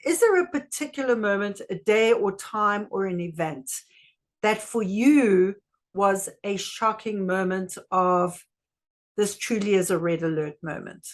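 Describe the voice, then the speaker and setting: A woman interviewer speaks at an average pitch for a female voice. Her questioning is measured and deliberate, built from short phrases. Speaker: interviewer, host.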